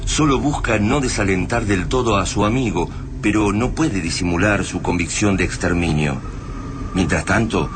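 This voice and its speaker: An actor with an Argentine accent narrating a grim invasion saga as a scripted radio drama in a solemn way. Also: male voice, sits low, moderate pace, noisy background, natural voice.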